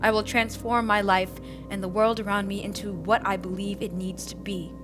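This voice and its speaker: A woman's voice: medium pitch, little pitch movement, moderate pace, close to an everyday voice. Resolute, hopeful woman for audio drama, played by an actress: earnest, emphatic, mid register, under prominent music. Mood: determined, hopeful. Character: resolute woman urging hope and change. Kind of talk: audio drama, rousing testimonial.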